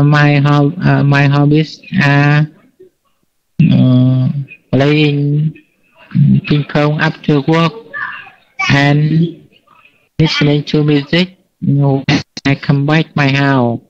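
A man in his forties introducing himself slowly and hesitantly in an online English class. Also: fairly high pitch. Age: 40s. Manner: slow, hesitant learner's English. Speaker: student. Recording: lo-fi online call audio.

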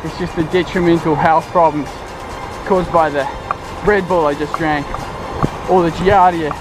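A man's voice: on the high side, measured pace, low-quality recording. A man in his twenties with a New Zealand accent, filming himself at arm's length on a forest trail and talking casually about his hike. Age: 20s. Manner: excited, breathless buzzing energy. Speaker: thru-hiker vlogger. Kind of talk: casual handheld trail vlog. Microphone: camera at arm's length. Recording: music playing underneath.

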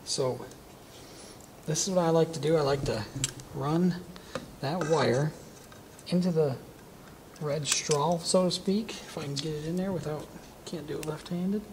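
A man's voice: on the high side, a little slow, pauses often, background noise. A man in his thirties giving a casual hands-on welding equipment demonstration in a shop, talking as he works. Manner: casual, talks while working with his hands. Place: welding shop corner with curtain backdrop.

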